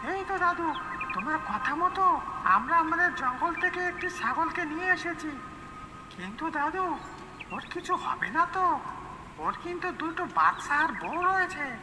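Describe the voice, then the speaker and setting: A woman's voice: very high voice, expressive, a little slow, noisy background. A woman voicing a small, earnest jungle bird in a cartoon, speaking in an anxious, pleading way. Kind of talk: cartoon animal voice acting. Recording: echoing reverb under loud music.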